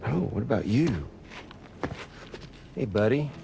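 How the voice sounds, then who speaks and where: Taunting, drawling villain with wild swings. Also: male voice, mid-range voice, sing-song, takes it slowly, creaky, over music, full character voice. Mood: mocking, gleeful. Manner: mocking, drawling, theatrical. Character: taunting theatrical Southern male villain, menacing and mocking. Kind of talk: video game cutscene acting.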